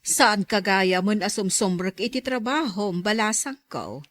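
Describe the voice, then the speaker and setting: A woman's voice: medium pitch, animated, unhurried, fairly bright tone. An actress playing a tender, concerned middle-aged mother in an audio drama, with an Ilocano Filipino accent.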